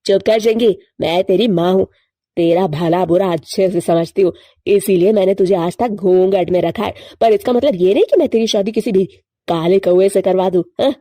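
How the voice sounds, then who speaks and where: A proud mother bird, acted by a woman narrator, haughty and quick to scold. Her tone is disapproving but mellow in timbre, recorded very dry for a cartoon moral story. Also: mid-range voice, no room sound, close to an everyday voice.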